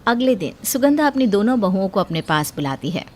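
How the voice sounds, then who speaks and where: A woman's voice: mid-range voice, very animated, brisk, darkish tone, soft background music. A woman reading scripted narration for a moral story as its storyteller.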